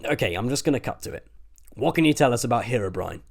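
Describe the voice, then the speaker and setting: A man's voice: medium pitch, lively intonation, fast talker, vocal fry. A man voicing a bossy, impatient British teenage boy with a blunt way of talking. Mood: brisk, firm.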